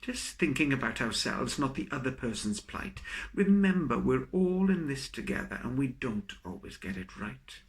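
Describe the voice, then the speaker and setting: A man reciting his own comic poem in a theatrical, expressive storytelling manner. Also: mid-range voice, moderate pace. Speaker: poet reading own work. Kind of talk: dramatic comic poetry recital.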